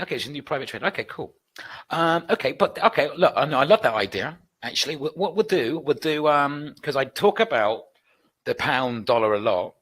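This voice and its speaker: Upbeat British male webinar host, bright, forceful delivery, chatty Q&A. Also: medium pitch. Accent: British English. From United Kingdom. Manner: chatty, upbeat, reads out and answers viewer requests. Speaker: trading educator hosting a webinar. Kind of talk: live webinar Q&A.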